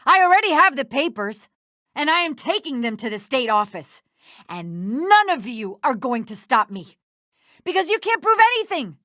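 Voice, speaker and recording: A smug, unrepentant villainess from a mystery game. Her voice runs high and swings widely, haughty and indignant, in a dry close recording. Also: sing-song, moderate pace, pushed and bright, no room sound. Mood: defiant, indignant. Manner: haughty, indignant, self-righteous. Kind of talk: video game voice acting.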